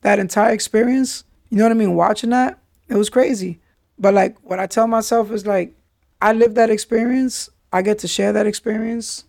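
A man's voice: sits high, steady tone, normal speed, vocal fry, a little mellow, dry recording, clean studio sound. A man, hosting a podcast and telling his life story candidly in street slang, often saying "yo" and "you understand?". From New York City. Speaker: podcast host.

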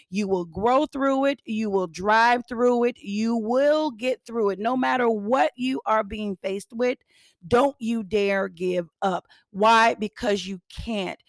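Hoarse, gravelly woman podcast host. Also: mid-range voice, no echo. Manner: preachy, repeats phrases for emphasis. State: hoarse, raspy from singing. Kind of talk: upbeat motivational podcast talk.

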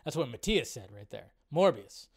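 A man's voice: medium pitch, big pitch swings, moderate pace, vocal fry. A man hosting a live movie fan stream, chatting informally and ramblingly as he reads and answers viewer comments.

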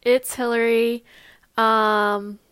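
A woman in her twenties speaks in a fairly high voice with a Californian American accent. She hosts a personal podcast alone, informal and candid with a touch of nerves. The recording is dry, without room echo. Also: flat delivery, drawn-out words, a bit bright, clean tone. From Long Beach, California, US. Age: 20s. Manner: candid, informal, slightly nervous. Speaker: podcast host. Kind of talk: casual personal podcast monologue.

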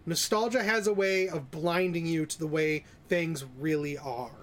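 A man's voice: fairly high pitch, moderate pace. A man co-hosting a podcast, giving blunt, opinionated takes in casual, sweary chat. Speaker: podcast host.

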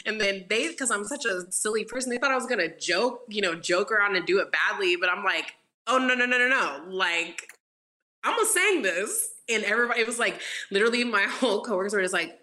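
A woman with a deep voice chatting casually as a podcast guest, giggling often and breaking into snatches of song. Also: lively intonation, fairly quick, pushed and bright. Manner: giggly, sings snippets, many restarts. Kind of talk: casual chat.

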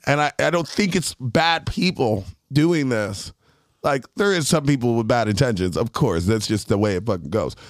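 A man's voice: mid-range voice, animated, moderate pace, no echo, studio quality. A man co-hosting a casual podcast, rambling and swearing freely as he talks. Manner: rambling, swears a lot, laughs often. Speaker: podcast co-host.

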